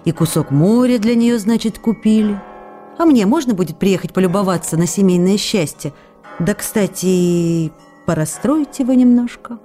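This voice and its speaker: A young actress pours out teasing, pleading letters to the writer she loves, as an actress voices her in a radio play. Her tone is mellow and warm, coaxing one moment and reproachful the next, and faint music plays underneath. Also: mid-range voice, moderate pace, natural voice. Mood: wistful. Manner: playful, coaxing, then reproachful. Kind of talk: radio drama letter reading.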